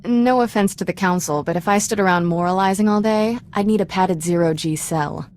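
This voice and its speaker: An actress playing an irreverent, streetwise young Jedi in a video game, speaking in a wry, informal way. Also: female voice, on the low side, normal speed, darkish tone, slight background noise, lightly acted. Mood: wry, teasing. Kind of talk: video game cutscene acting.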